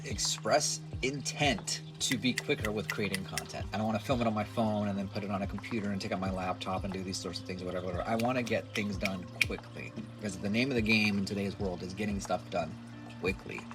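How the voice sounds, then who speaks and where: Nasal deep-voiced man in his forties. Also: steady tone, fast talker, music in the background. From United States. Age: 40s. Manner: rambling, self-deprecating, chatty. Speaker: musician and content creator. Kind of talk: casual talk to camera.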